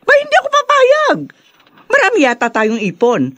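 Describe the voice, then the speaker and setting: An actress playing a doting, brash middle-aged Filipino mother in a radio drama, expressive and teasing. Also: extremely high pitch, moderate pace, no room sound, full character voice. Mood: insistent, doting.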